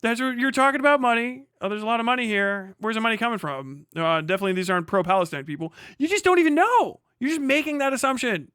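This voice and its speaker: Guy streamer ranting at a clip, sharp bright voice, sweary and combative, dry close sound. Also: sits high, smooth, dry recording. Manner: ranting, profane, combative, mocking. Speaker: livestream host reacting to a clip. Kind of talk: heated live reaction commentary.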